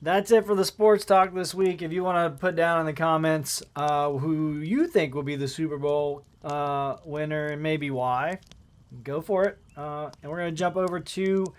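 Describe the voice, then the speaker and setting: A man's voice: a little high, fairly even intonation, normal speed. A man hosting a podcast with casual, colloquial sports banter. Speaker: podcast host.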